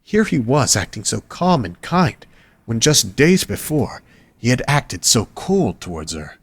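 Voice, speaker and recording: A man's voice: mid-range voice, sing-song, a little slow, husky, dry recording. A man reading scripted story narration as the narrator of an audio drama.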